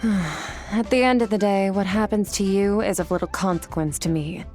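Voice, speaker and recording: A woman's voice: medium pitch, a little mellow, soft background music, natural voice. A woman voicing an aloof, terse swordmaster. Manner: terse, detached, measured. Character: cool, aloof female swordmaster. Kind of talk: game dialogue.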